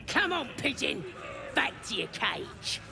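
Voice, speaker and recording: High, creaky bullying goblin. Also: male voice, very animated, takes it slowly, full character voice. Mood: angry, impatient. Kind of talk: fantasy game creature acting.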